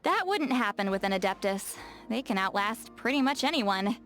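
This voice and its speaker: A woman voice acting a touchy, lazy, bookish young-seeming shaman in a video game, expressive and defensive, with hesitant asides and self-corrections. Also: mid-range voice, moderate pace, soft background music, natural voice. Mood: bookish, musing. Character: touchy, lazy, bookish female shaman, youthful but secretly very old.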